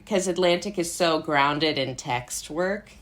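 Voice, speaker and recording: Low-pitched American woman chatting casually. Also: unhurried. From Brooklyn, New York, United States. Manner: rambling, self-interrupting, conversational. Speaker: interview guest, playwright and actor. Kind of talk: casual chat.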